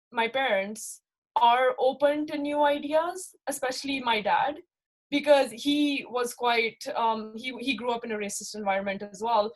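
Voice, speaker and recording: A woman's voice: a little high, low-quality recording. A young woman from Pakistan with a light Pakistani accent, reflecting candidly in a casual talk and often asking "right?" as she thinks aloud. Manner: reflective, with many fillers and rhetorical "right?". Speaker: interviewee. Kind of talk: casual personal account.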